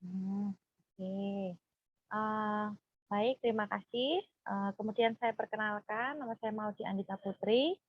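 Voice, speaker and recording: A woman, leading an informal group session in a halting, hesitant way. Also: medium pitch, no echo. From Purworejo, Central Java, Indonesia. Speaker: group counseling facilitator. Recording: dull, muffled lo-fi sound.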